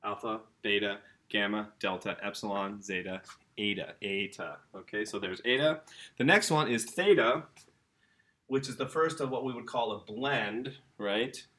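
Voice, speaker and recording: A middle-aged man with a fairly low voice teaches a laid-back video lesson. He talks in a chatty, easygoing way and stops to fix his own mistakes as he writes on a board. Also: moderate pace. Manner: relaxed and chatty, self-correcting while writing on a board. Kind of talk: casual classroom video lesson.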